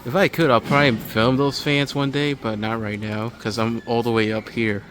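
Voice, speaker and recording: A man's voice: mid-range voice, steady tone, moderate pace, fairly bright tone, slight background noise. A man giving a rambling, off-the-cuff walkthrough commentary inside a large hardware store, talking like an enthusiastic hobbyist filming for a video. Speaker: amateur video maker. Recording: store music playing behind.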